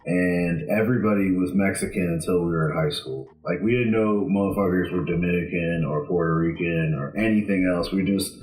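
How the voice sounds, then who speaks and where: A man's voice: deep voice, a little fast, few pauses, darkish tone, reverberant, low-quality recording. A young man from Florida co-hosting a comedy podcast, blunt and profane, laughing often. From Florida, USA. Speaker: podcast co-host. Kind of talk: casual comedy podcast banter.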